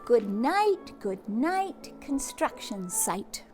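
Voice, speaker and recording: A woman reading a children's picture book aloud, expressively, with drawn-out words and hushed asides, speaking directly to young listeners. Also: a little high, sing-song, soft background music. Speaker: teacher or read-aloud host reading to children.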